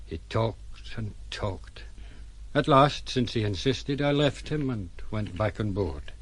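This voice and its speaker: An actor playing a blunt, sombre ship's captain recounting events in an audio drama, in a plain-spoken way. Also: male voice, lowish voice, lively intonation, slow pace, slightly rough, exaggerated voice. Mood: sombre, reflective. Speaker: sea captain.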